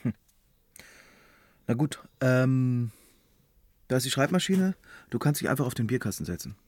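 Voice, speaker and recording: A man's voice: medium pitch, animated, moderate pace, darkish tone, breathy edge, no echo. A man playing a guarded, awkward young resistance activist in a radio drama, speaking in hesitant, clipped phrases. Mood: wary.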